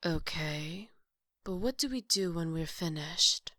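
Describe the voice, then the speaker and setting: Woman acting a low-voiced, composed, formal maid. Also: animated, takes it slowly, sharp tone, no room sound, clean studio sound, exaggerated voice. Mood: devoted. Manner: composed, stiff formal wording, often whispery. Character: formal, devoted, politely menacing female maid to a vampire. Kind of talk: ASMR roleplay.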